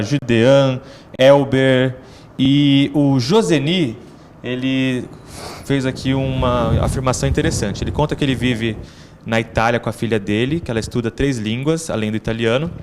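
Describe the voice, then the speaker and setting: A man from Brazil hosting a TV interview in a studio, calm and measured, reading out viewer messages. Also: medium pitch, slight background noise. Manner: calm, measured, reads online comments aloud. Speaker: TV host.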